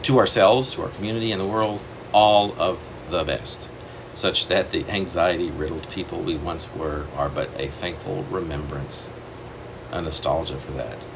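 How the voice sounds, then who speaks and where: A man reading his own poem aloud in a measured, reflective way, pausing deliberately between phrases. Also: low voice, creaky. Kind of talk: poetry reading. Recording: steady air-conditioner hum behind the voice.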